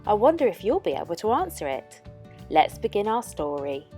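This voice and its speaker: Female storyteller for children, slightly high voice, animated intonation, unhurried read-aloud.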